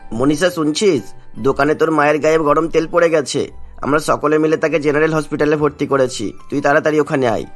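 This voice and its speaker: A man's voice: fairly high pitch, fairly quick, soft background music, natural voice. A man voicing a concerned, familiar village elder in a cartoon story. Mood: urgent, alarmed.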